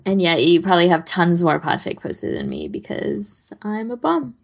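A woman with a notably low voice chats as a podcast co-host. She speaks in an informal, conversational manner with a self-deprecating streak. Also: animated, normal speed, vocal fry. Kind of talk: casual chat.

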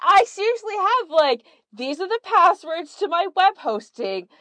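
A woman's voice: very high voice, big pitch swings, unhurried, sharp tone, no room sound. A woman podcast host talking casually and earnestly in a conversational way. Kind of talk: casual chat.

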